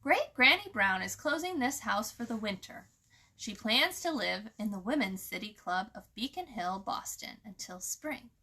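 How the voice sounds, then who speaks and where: A sly male crow from a children's storybook, acted out by a woman, with a bright, forceful voice. He is a smug country gossip who teases and coaxes in a folksy, smirking way. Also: fairly high pitch, lively intonation, moderate pace, husky, full character voice. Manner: folksy, teasing, smirking persuasion.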